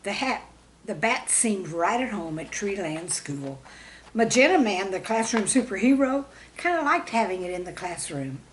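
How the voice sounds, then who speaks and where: A woman reading a scripted children's story with expressive, dramatic storybook emphasis. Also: medium pitch, moderate pace, slight background noise, rough recording. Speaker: storyteller and author reading own stories.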